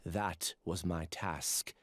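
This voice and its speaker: A man's voice: low-pitched, fairly even intonation, extremely slow, fairly bright tone, heavily acted. An actor playing a solemn, withdrawn male researcher in dramatic video game dialogue. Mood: resigned farewell.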